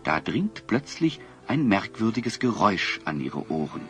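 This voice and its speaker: A male storyteller narrates a children's radio drama. His voice is fairly low with expressive swings in melody. The phrasing is measured and literary, over faint music.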